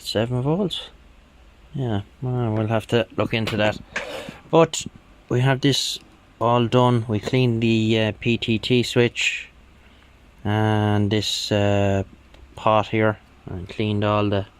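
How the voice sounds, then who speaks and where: A man giving a casual, hesitant running commentary as he works on a repair, muttering to himself at times. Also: on the low side. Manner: unhurried, muttering, hesitant while working. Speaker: hobbyist radio restorer.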